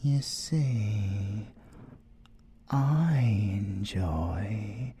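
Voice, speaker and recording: A man's voice: fairly low pitch, lively intonation, warm tone, a little hiss or hum, full character voice. A British man playing a playful, possessive, seductive siren villain in a theatrical ASMR audio roleplay. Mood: obsessive, seductive. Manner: teasing, theatrical, drawn-out delivery with drops into whispering.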